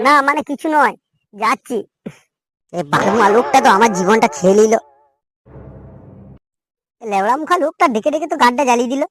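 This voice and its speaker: Woman as a very high-pitched cheeky cartoon son, West Bengal accent. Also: animated, fairly quick, long pauses. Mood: grumbling. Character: cheeky, grumbling, foul-mouthed young son. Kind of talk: comic cartoon dialogue.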